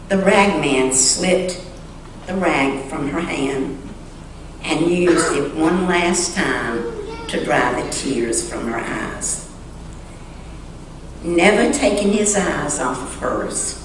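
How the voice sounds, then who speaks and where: A woman reading a story aloud in a dramatic, deliberate way, pausing expressively between short phrases. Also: deep voice. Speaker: church member reading a story to the congregation. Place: large church sanctuary. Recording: echoey church sanctuary, distant mic, faint noise.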